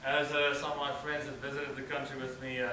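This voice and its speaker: A man's voice: medium pitch, fairly quick, slight background noise. South African man presenting in an echoey hall. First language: Afrikaans. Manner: relaxed, hesitant with frequent uh fillers. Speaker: student presenter. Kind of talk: slideshow presentation to an audience. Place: event hall. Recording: roomy hall, mic at a distance.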